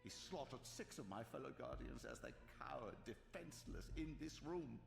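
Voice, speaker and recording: An actor playing a sly, silver-tongued aged sorcerer in a video game, speaking in grandiose, unctuous, deliberate phrases with a creaky voice. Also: male voice, mid-range voice, wildly swooping pitch, full character voice. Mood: grave, bitter. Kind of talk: theatrical video game voice acting. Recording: echoey hall reverb, music behind.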